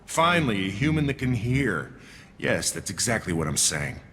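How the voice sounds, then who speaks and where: An actor playing a gruff, jaded turian mercenary guard in a video game, blunt and sardonic. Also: male voice, fairly low pitch, sing-song, moderate pace, fairly bright tone, big-room sound, a little hiss or hum.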